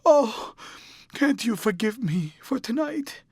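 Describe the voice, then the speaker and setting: A man's voice: very high voice, lively intonation, takes it slowly, husky, no echo, clean studio sound, voice pushed up, heavily acted. A male narrator voicing a heartbroken young actress who pleads tearfully with her lover. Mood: pleading.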